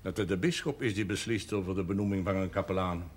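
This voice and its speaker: An actor plays a senior male cleric of refined, secluded habits in a period television drama. He talks deliberately and formally, with dry irony. The vintage broadcast sound carries a light noise. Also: sits low, fairly even intonation, lightly acted. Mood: ironic, deliberate. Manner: measured, deliberate, ironic, with formal phrasing. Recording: noisy old 1970s television soundtrack.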